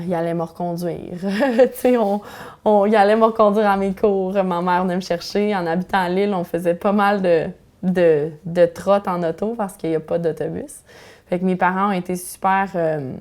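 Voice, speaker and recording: A woman's voice: fairly low pitch, darkish tone. A young woman singer with a Quebec French accent, English being her first language, chatting casually in an interview with plenty of fillers. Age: young adult. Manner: chatty, full of fillers and restarts.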